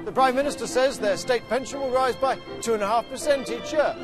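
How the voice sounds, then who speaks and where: A man narrating a mock public information film in a cheery, deadpan satirical manner. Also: extremely high pitch, a little fast. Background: music.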